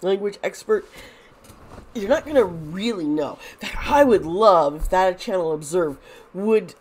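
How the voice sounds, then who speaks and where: A woman lays out tarot cards and talks at an unhurried, drawn-out pace, her nose blocked and her voice wearing thin. Her pitch sits on the lower side, and she circles back on herself with restarts and fillers. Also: lively intonation, a little mellow, husky. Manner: rambling with many restarts and fillers. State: congested, losing her voice. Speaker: tarot reader. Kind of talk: casual tarot reading to camera.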